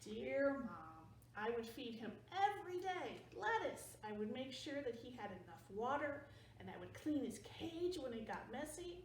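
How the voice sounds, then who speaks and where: A woman's voice: high-pitched, big pitch swings, normal speed, hardly any pauses. A woman voicing an eager young boy who writes pleading letters, read aloud from a picture book. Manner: pleading, earnest. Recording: roomy sound from a distance.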